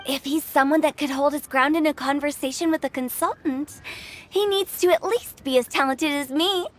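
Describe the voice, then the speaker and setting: A woman's voice: sits high, normal speed, close to an everyday voice. A woman voicing a playful, nosy teenage girl in a video game, teasing and curious. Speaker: game character.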